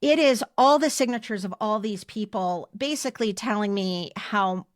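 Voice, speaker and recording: An American woman in her fifties shares personal stories as a podcast co-host. Her pitch is medium and her delivery is conversational and emphatic. The recording is dry and close. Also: moderate pace, no echo. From United States. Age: 50s. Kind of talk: casual personal storytelling.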